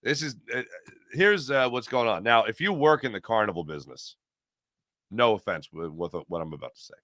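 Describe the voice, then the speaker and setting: A man's voice: on the low side, expressive, fairly quick, a bit bright, dry recording, studio quality. An American man hosting a sports talk livestream, chatting casually and emphatically, often repeating phrases to make his point. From Pennsylvania, United States. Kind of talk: casual sports commentary and chat with viewers.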